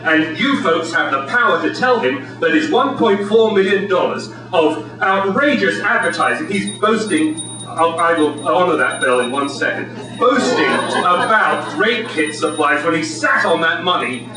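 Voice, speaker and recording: A man giving a brisk, combative campaign speech at a community meeting. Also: a little high, lively intonation, music in the background. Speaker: candidate for city attorney. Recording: very echoey hall from far off.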